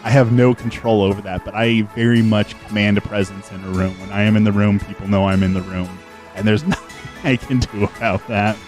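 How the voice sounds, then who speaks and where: A man's voice: on the low side, fairly even intonation, a little fast, a little mellow, faint music behind. A middle-aged man streaming a game, chatting casually and earnestly with viewers into a desk microphone, with many fillers and restarts. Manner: outgoing and extroverted. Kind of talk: casual live-stream chat answering viewers while gaming.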